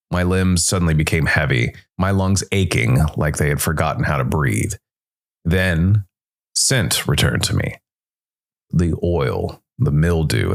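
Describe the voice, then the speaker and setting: A man narrating a first-person noir story as a ghostly jazz musician investigator, with a dramatic, deliberate delivery and weighted pauses. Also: extremely low pitch, creaky. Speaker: solo storytelling podcast narrator.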